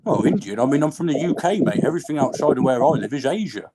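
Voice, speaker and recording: A man's voice: medium pitch, animated, a crowd in the background, natural voice, rough recording. A man in his forties calling into a live show, putting on a northern English miner's accent and trolling the hosts. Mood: provoking. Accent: put-on northern English. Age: 40s. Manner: provocative, trolling, quick-talking. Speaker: caller. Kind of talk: prank call on a live show.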